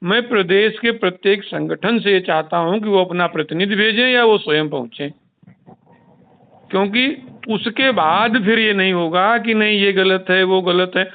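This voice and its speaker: A man's voice: sits high, normal speed. A man speaking as a union leader on a phone call, explaining insistently and repeating himself. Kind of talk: informal phone discussion. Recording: tinny recorded phone call.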